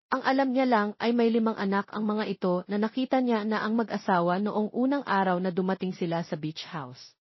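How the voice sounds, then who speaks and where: A woman's voice: mid-range voice, no echo. A woman with a Filipino accent reading a scripted romance story as its narrator. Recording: slightly dull lo-fi sound.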